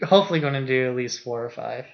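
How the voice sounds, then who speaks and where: A man's voice: medium pitch, expressive, fast talker. A man co-hosting a casual film discussion, speaking in an informal, agreeable, slangy way. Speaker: podcast co-host. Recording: dull, muffled lo-fi audio.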